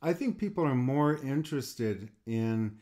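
A man's voice: mid-range voice, lively intonation, measured pace, mellow. A middle-aged man, an art coach hosting a podcast interview, who often repeats words as he starts a thought. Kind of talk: interview conversation.

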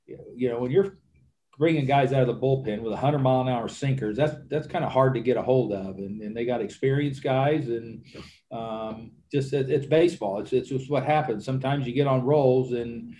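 A man in his sixties, a baseball manager answering questions at a press conference, halting and repeating words, speaking into a desk microphone. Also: mid-range voice, dark tone, a little hiss or hum. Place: press conference room with backdrop.

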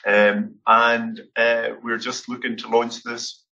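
A company founder giving a halting business pitch, full of hesitations. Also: male voice, flat delivery, bright, forceful tone, far from the mic. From Scotland. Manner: halting, many "uh" fillers. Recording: dull lo-fi event audio.

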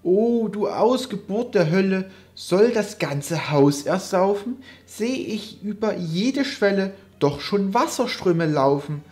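A woman's voice: mid-range voice, expressive, takes it slowly, hardly any pauses, darkish tone. A woman voicing a young male sorcerer's apprentice, cocky and easily panicked, in a dramatic poetry recitation. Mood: alarmed. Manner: theatrical, exclamatory.

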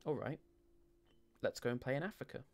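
A man acts out a middle-aged father in an audio drama. He is stern but worried, with gravel in his mid-pitched voice. Music runs clearly behind him. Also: fairly quick, a little mellow, natural voice. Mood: firm. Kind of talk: dramatic audio short story reading.

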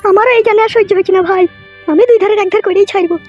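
A woman's voice: extremely high pitch, fairly even intonation, rapid-fire, darkish tone. A woman voicing an emphatic, plain-spoken wronged young wife in a comic cartoon, on a dull recording. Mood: indignant. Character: shrill wronged young married village woman, wary then yielding. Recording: dull lo-fi audio with music.

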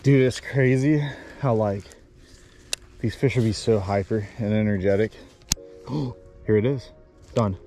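A man's voice: on the low side, measured pace, pauses often, music in the background. A man competing in a casual fishing video, talking in hyped slang with short repeated exclamations. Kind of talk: casual outdoor vlog chat.

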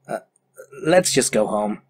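Woman as an exasperated cartoon shrine maiden, animated pitch. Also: a little slow, husky, exaggerated voice. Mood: bossy. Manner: sarcastic, scolding. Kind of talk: animated parody cartoon acting.

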